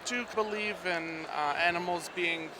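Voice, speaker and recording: A man who breeds geckos answering interview questions at an expo in a hesitant, soft-spoken way with lots of fillers. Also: high-pitched, measured pace, noisy background. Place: busy reptile expo hall.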